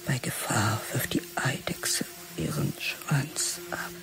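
Whispering, bitter older teacher. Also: female voice, rumbling low, takes it slowly, a bit bright, faint music behind, full character voice, poor audio. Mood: weary, brooding. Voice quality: breathy, half-whispered. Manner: clipped, terse, scornful fragments. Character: bitter, stern older female teacher's inner voice. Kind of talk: radio play inner monologue.

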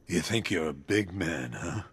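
An actor playing a defiant, battered older police commissioner held captive, growling huskily and straining in a video game. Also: male voice, low voice, animated, measured pace, a bit bright, heavily acted. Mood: defiant, strained. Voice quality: husky, growling.

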